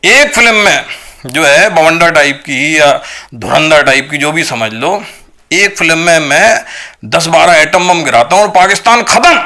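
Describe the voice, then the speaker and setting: An Indian man delivers an argumentative commentary straight to his viewers. His voice sits high for a man, with a sharp, forceful edge and a gravelly creak. He presses his points through repeated rhetorical questions, often checking that listeners follow. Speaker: video commentator. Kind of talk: opinionated monologue to viewers.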